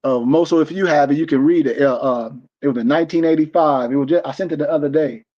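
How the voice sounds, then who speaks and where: A man's voice: fairly high pitch, fast talker, darkish tone, lo-fi sound. A man in his forties, from the United States, lecturing in a casual preaching style as host of an online stream, emphatic and rambling with many restarts. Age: 40s.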